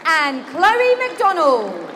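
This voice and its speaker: High-voiced woman announcing at a show. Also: wildly swooping pitch, a bit bright. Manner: slow, declamatory announcing of names. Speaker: ring announcer. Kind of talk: public-address announcing. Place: large indoor exhibition hall. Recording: crowd and hall noise.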